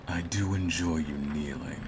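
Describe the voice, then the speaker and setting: A man playing a sadistic, possessive vampire master in an ASMR audio roleplay, breathy and whispery up close, with a smug, taunting purr. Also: low-pitched, steady tone, noisy background, lightly acted. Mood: menacing, amused. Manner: slow, taunting, menacing purr. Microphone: close microphone.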